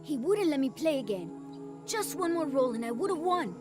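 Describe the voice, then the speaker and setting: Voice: sits high, sing-song, normal speed. A performer playing an eager, petulant young dice-playing villager in a video game cutscene. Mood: eager, sulky. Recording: music under the voice.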